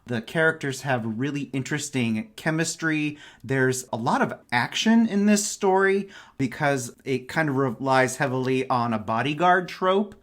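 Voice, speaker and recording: A man speaks as a podcast guest in relaxed conversation about book picks. The pitch of his voice is in the middle range. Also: normal speed, few pauses. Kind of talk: casual book recommendations.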